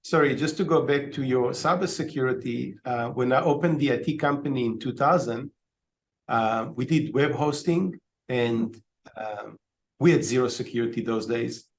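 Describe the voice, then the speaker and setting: A man's voice: mid-range voice, moderate pace. A man in his fifties from Israel, talking as a guest over a video call, telling stories with many ums and restarts. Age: 50s. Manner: storytelling, frequent ums and restarts. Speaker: guest, IT company owner. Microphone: laptop webcam on video call.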